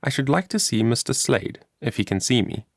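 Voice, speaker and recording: Earnest, courteous poor boy, played by a man, raised pitch, persistent storybook character. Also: big pitch swings, normal speed, creaky, dry recording, clean studio sound. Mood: polite. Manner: polite, persistent. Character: polite, earnest poor schoolboy.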